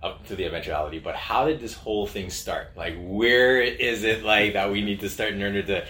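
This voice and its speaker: A man in his mid thirties hosting an interview, asking halting, filler-heavy questions. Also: on the low side, bright, forceful tone, reverberant. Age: 30s. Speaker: host. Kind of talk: casual interview.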